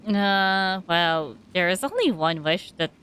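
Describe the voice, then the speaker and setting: Slow, lively-pitched woman streaming a game. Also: clean tone. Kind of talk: casual gameplay reactions and reading along.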